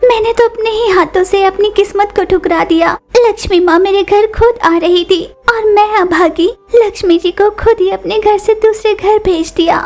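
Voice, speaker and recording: A woman's voice: very high voice, normal speed, few pauses, darkish tone, pitched above the performer's own voice. A woman voicing an indignant, demanding small female bird with a scolding, insistent manner in a cartoon story. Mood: wailing, regretful.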